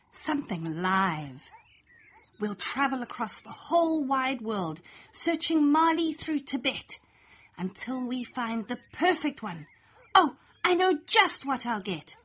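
Bright, animated woman reading aloud. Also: high voice, takes it slowly, slightly rough. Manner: lively, playful, sing-song storytelling. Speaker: storybook reader. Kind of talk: expressive read-aloud children's story in rhyme.

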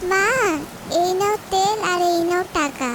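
A tiny, whiny fox girl from a cartoon. The voice is extremely high and pleading, over clearly noisy audio. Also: measured pace. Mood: timid. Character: small, pleading five-year-old fox girl.

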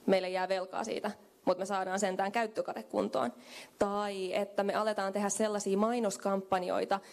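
Gravelly-voiced woman giving a keynote. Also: mid-range voice, level tone, a little mellow. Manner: conversational, thinks aloud with fillers. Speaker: keynote speaker. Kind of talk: conference keynote talk. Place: hotel conference room with small audience. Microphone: handheld microphone.

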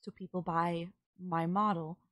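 A woman voicing a polite, puzzled, emotionless female servant robot in an audio roleplay, with formal, halting, literal phrasing. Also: lowish voice, little pitch movement, a bit bright, no echo, studio quality. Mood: flat, matter-of-fact. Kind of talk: scripted audio roleplay, ASMR.

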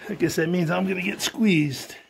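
A man's voice: sits high, fairly even intonation, normal speed, creaky, slight background noise. Upbeat man filming on a trail. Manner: upbeat, chatty, talking to viewers while hiking. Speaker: hiker filming his trail vlog. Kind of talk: casual walk-and-talk narration. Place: outdoors on a rocky mountain trail. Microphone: handheld camera while walking.

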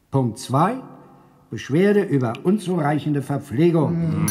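A pompous elder chairing a fairy-tale council in a radio play. His voice is fairly high and slow, with officious, indignant formality. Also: darkish tone.

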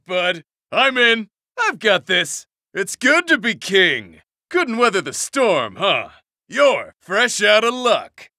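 Cocky brawler with wildly swinging pitch. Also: male voice, bright, forceful tone, dry recording, clean studio sound, heavily acted. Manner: brash, slangy short exclamations. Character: cocky, brawny young male brawler, boisterous and friendly. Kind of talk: video game battle voice clips.

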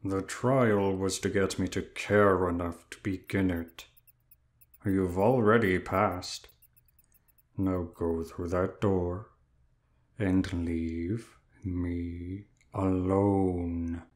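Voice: deep voice, fairly even intonation, drawn-out words. An actor in an audio drama playing Sloth, a lazy, weary demon with a drawling, indifferent manner. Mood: weary, bored.

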